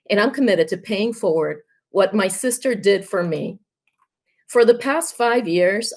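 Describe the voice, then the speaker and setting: Puerto rican woman, spanish first language, reading out a campaign speech, earnest, mid pitch. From Puerto Rico. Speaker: union candidate, high school Spanish teacher.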